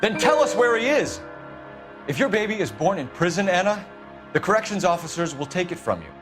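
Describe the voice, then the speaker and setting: A man's voice: high-pitched, sing-song, a bit bright. A man playing a hard-nosed police detective in a crime game, pressing his point sternly. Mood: angry, forceful. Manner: stern, pressuring, deliberate emphasis. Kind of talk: dramatic game acting. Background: background music.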